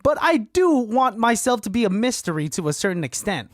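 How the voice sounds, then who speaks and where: A man's voice: high-pitched, sing-song, fairly quick, dry recording, studio quality. A young man co-hosting a podcast, talking casually and candidly and laughing easily. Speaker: podcast co-host.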